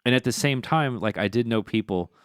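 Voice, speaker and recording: A man's voice: medium pitch, fairly quick, clean tone, no room sound, studio quality. A man hosting a podcast, chatting casually and thinking aloud with many fillers. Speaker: podcast host.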